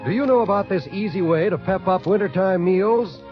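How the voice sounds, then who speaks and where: A male announcer reading a scripted 1940s radio commercial in a bright, upbeat selling tone. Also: high voice, a little fast, loud music behind the voice. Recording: dull 1940s radio broadcast.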